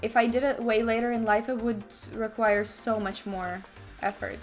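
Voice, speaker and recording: A woman's voice: on the high side, fairly even intonation, moderate pace. Chatty woman streamer, faint music. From Ukraine. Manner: chatty, restarts sentences, asides to herself mid-story. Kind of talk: casual chat while playing chess.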